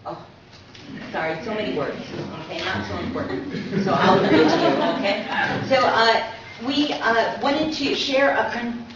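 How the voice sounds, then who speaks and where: A middle-aged woman giving an informal public lecture, explaining in a conversational way and often checking in with "right?". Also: mid-range voice. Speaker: co-presenter, university teacher. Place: indoor talk room. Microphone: distant from speaker. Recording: echoey room, distant muffled mic, faint noise.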